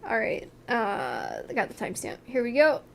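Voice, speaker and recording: A young woman chatting through a music review, excitable and tripping over her words as she goes. Her fairly high voice is wearing thin from illness. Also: moderate pace, gravelly, very noisy. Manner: excitable, repeats words, lots of fillers. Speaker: co-host of music review show. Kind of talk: casual chat reviewing music videos.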